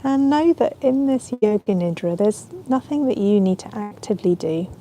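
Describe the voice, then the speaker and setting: A woman leading a guided relaxation as a yoga nidra teacher, speaking in a soothing, unhurried, gentle way with ambient sound around her. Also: mid-range voice, animated, slight background noise.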